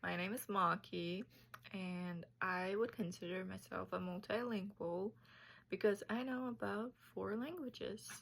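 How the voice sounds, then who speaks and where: Young woman, halting student presenter, gravelly low register at a slow pace. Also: fairly even intonation. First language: Tajik. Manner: unhurried, thoughtful, slightly halting. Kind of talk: casual class presentation video.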